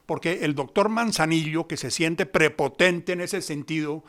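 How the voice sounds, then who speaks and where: A man's voice: on the high side, moderate pace, a bit bright. A man with a Colombian accent giving spontaneous, analytical commentary in an explanatory, reflective manner, like an interviewed expert. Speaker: interviewed commentator on theatre and history.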